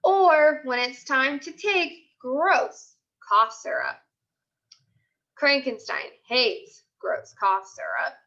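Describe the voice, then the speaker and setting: A woman teacher reading aloud to young children in an expressive storytelling way, with deliberate pauses. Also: high voice, creaky, lo-fi sound. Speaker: elementary school teacher.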